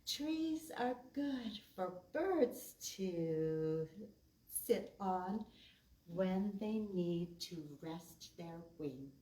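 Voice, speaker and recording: A woman reads and recites for small children as a storytime host. She speaks very slowly and expressively, in simple phrases. She sounds a little distant from the mic, with clear room echo around her. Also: on the low side, lively intonation, mellow. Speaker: preschool storytime host at a library. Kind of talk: children's storytime, reciting rhymes and reading a picture book aloud.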